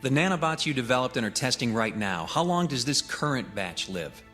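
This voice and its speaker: A man's voice: medium pitch, animated, fairly quick, sharp tone, faint music behind. An actor playing a probing, stubborn investigator in a film drama, informal and sardonic as he presses his questions. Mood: insistent.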